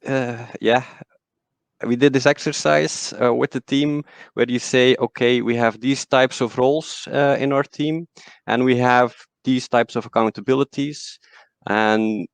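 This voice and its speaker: A man with a West Flemish accent, his first language Dutch, talks about his work in a relaxed, self-deprecating way. His voice has a gravelly, creaky edge. The sound is dry and close, as on a video call. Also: mid-range voice, unhurried, dry recording. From Belgium. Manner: relaxed, self-deprecating storytelling with many restarts. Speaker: guest, agile coach. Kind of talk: casual interview talk.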